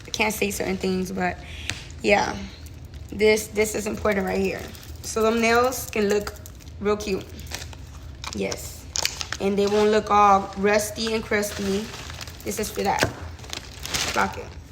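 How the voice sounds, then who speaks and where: A woman nail technician with an accent chatting excitedly as she unboxes her supplies in a casual vlog. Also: medium pitch, slow pace, pauses often, background noise. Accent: non-native English accent. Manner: chatty and excited, lots of fillers. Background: loud crinkling and rustling of packaging.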